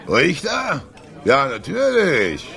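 An actor playing a boozy, wistful male barfly in a German radio drama, rambling and musing in a gravelly voice. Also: fairly high pitch, wildly swooping pitch, slow, pushed and bright, heavily acted. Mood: friendly, wistful. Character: boozy, wistful male barfly, slyly sociable jazz fan. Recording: bar crowd murmur behind.

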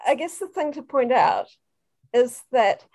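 Upbeat woman with a New Zealand accent and a fairly high voice, guest on a video call interview. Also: animated, unhurried, warm tone. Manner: enthusiastic storyteller. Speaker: guest researcher.

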